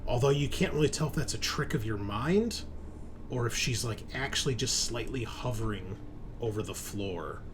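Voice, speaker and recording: A man hosting as game master, improvising narration and chat in a deliberate, suspenseful storyteller's way. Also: mid-range voice, animated, background noise.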